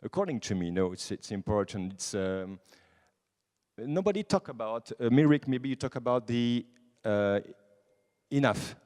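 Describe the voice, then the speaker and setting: A man's voice: mid-range voice, expressive, normal speed, a little mellow. A man in his twenties with a French accent gives an informal conference talk in English, often restarting and searching for words. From France. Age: 20s. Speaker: conference speaker, night-life association president. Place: large conference hall. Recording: reverberant hall.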